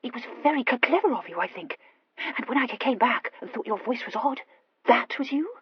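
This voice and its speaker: Woman acting a stammering high-voiced impulsive young wife. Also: expressive, fairly quick, slightly rough, highs cut off. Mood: puzzled, probing. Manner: hesitant, gushing confession with emphatic outbursts. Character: breathless impulsive young wife, anxious, earnest aristocrat.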